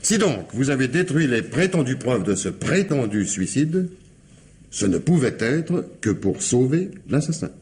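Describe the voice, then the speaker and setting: An actor playing a shrewd, sardonic police commissioner in a radio crime drama, questioning with wry insistence and theatrical hesitations. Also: male voice, mid-range voice, sing-song, measured pace, a little mellow.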